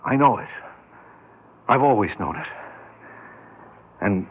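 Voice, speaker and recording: A lonely, self-doubting American bachelor in a 1960s radio play. His husky voice swings widely as he stammers and doubles back on his words. The recording is dull and old. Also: lowish voice, lively intonation, exaggerated voice. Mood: self-pitying. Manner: stammering, halting, repeats words. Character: timid, self-loathing lonely bachelor, eager and dreamy. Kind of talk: 1960s radio drama acting.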